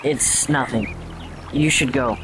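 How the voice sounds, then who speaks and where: A man's voice: mid-range voice, takes it slowly, fairly bright tone, a little hiss or hum. A man playing a troubled teenage boy, anguished and defensive as he struggles with a possessing voice, in an audio drama. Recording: voice over prominent music.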